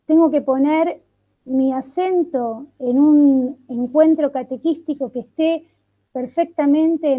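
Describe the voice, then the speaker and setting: A woman speaks in a high, sharply bright voice. She leads an online catechesis workshop over a video call, working through one question after another with thoughtful pauses. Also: highs cut off. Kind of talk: reflective online workshop talk.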